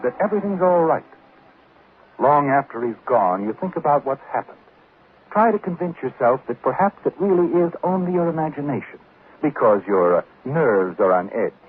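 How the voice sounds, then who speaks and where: An actor playing an ominous, knowing narrator of 1940s radio suspense, insinuating and pausing for drama. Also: male voice, medium pitch, slightly rough. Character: ominous, knowing male narrator addressing the guilty. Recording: noisy old radio, narrow band.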